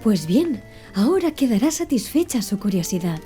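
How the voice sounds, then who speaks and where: Audiobook role: earnest pedantic manservant played by a woman, very soft mellow tone, didactic, lilting, light music underneath. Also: fairly high pitch, sing-song, moderate pace. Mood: didactic, patient. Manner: didactic, precise. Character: Conseil, earnest, pedantic man reciting fish classifications. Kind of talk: audiobook character dialogue.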